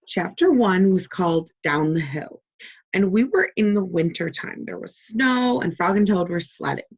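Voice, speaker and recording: A woman teacher doing a read-aloud for young children, deliberate and encouraging as she prompts them with simple questions. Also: lowish voice, expressive, vocal fry, slightly rough, highs cut off.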